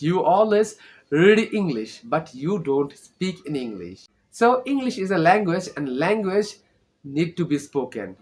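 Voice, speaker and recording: A man's voice: fairly high pitch, fairly bright tone. A young man from Bangladesh, a native Bangla speaker with a Bangladeshi accent, giving an earnest, informal English lesson and often checking in with "okay?". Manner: earnest, repeats tag questions like "okay?". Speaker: English learning vlogger. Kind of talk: informal teaching monologue.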